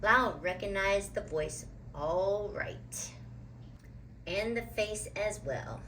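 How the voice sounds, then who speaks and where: A woman reading a children's picture book aloud with deliberate, dramatic phrasing. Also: medium pitch, fairly bright tone, a little hiss or hum, rough recording. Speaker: children's storybook reader.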